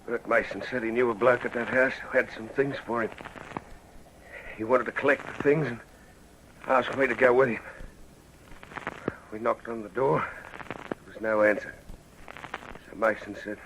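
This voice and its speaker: An actor with an Australian accent playing a cornered, rueful small-time gunman who talks roughly in an old radio crime drama. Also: fairly low pitch, fairly even intonation, moderate pace, pauses often, a bit bright, slight background noise, low-quality recording. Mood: confessing, resigned.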